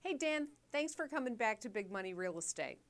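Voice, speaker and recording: A woman's voice: on the high side, sing-song, brisk. A woman hosting an interview show, asking conversational, curious questions while losing her voice. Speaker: show host.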